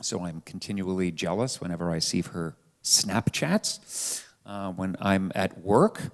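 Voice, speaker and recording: A middle-aged man casually introducing himself to a live audience, halting and wry as he goes. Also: low-pitched. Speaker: panelist. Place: church panel before an audience. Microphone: live sound microphone.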